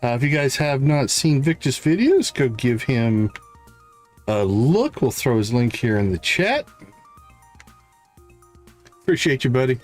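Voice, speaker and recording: A man's voice: mid-range voice, sing-song, a little slow, long pauses, faint music behind. A man hosting a live game stream, thinking aloud in short casual fragments and chatting to viewers, with a slight catch in his throat. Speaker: livestream host, gamer.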